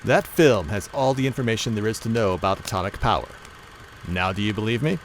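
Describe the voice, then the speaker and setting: An actor playing a scheming, pompous crime boss with deliberate menace in an audio drama. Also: male voice, lowish voice, slight background noise, close to an everyday voice. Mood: menacing. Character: smooth, scheming male criminal mastermind. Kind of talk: audio drama villain acting.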